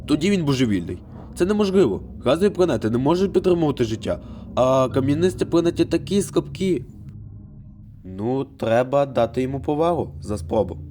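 A man's voice: mid-range voice, very animated, fairly quick, mellow, slight background noise, lightly acted. A man voicing a brash, restless giant planet in a cartoon, with a casual, complaining manner. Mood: scornful. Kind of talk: animated space cartoon dub. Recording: music behind the voice.

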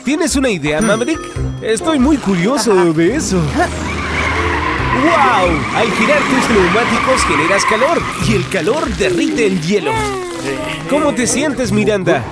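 A man's voice: high-pitched, big pitch swings, unhurried. A man narrating a children's cartoon as a cheerful, encouraging guide who exclaims and talks directly to the characters. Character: cheerful, encouraging male narrator guiding monster trucks. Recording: loud music under the voice.

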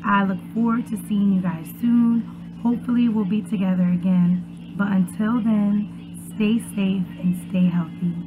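A young woman, a preschool teacher, reads aloud and chats to small children in an expressive, encouraging way, on a muffled webcam recording made at home. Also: medium pitch, unhurried, music in the background. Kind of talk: children's read-aloud and chat. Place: small furnished room at home, indoors.

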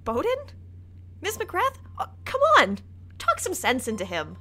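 Woman as a very high, bright sceptical agent. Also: wildly swooping pitch, unhurried, soft background music, full character voice. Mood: exasperated. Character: exasperated, sceptical female secret agent. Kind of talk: podcast comedy-adventure acting.

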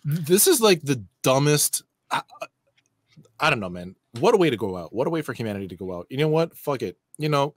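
An exasperated man in his thirties with a medium-pitched voice, recorded dry. He comments casually and sardonically, with a blunt edge, as a show co-host. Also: big pitch swings, fairly quick, no echo. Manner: exasperated, sardonic, profane, rhetorical questions. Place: indoor room, on a video call stream.

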